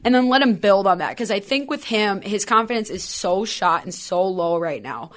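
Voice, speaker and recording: A woman co-hosting a casual hockey podcast, lively and quick to joke. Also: mid-range voice. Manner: animated, quick to joke and tease. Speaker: podcast co-host. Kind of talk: casual sports chat.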